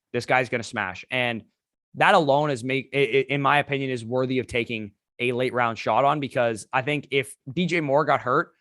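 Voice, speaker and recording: Host, male, hoarse from losing his voice, rapid-fire delivery, dry mic. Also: medium pitch, a bit bright, no echo. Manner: fast, run-on analysis with restarts. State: hoarse, lost voice. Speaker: fantasy football podcast host. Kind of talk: solo fantasy sports recap.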